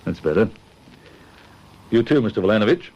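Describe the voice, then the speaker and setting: A wary Queensland cop with an Australian accent in a radio detective drama. He speaks slowly and deliberately in a lowish, rough voice, firm and clipped. The recording is slightly dull. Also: animated. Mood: stern, probing. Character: stern, wary Queensland police constable.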